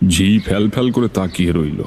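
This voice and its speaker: A man's voice: deep voice, brisk, darkish tone, slight background noise. A man narrating a suspense story in the first person, as a scripted dramatized reading.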